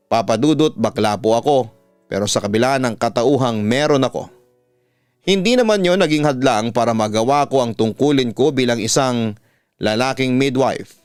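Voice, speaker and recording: A Filipino man with a smooth, resonant voice, narrating stories as a radio-style host. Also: mid-range voice, dry recording. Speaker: radio announcer and horror story host. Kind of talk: dramatic narration of listener letters. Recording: dry close voice over background music. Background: music.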